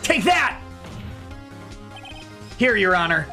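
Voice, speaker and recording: A man's voice: sits high, big pitch swings, slow, pushed and bright, soft background music, exaggerated voice. A man voicing an earnest, anxious young male defense attorney from a video game, with urgent delivery. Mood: determined.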